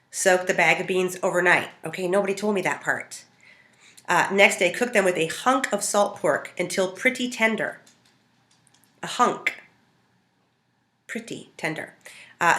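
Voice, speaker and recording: An American woman from Montana telling stories casually to camera as a home cook, in a conversational, animated way. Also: low-pitched. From Montana, United States. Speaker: home cook hosting a food video. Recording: roomy, echoing sound.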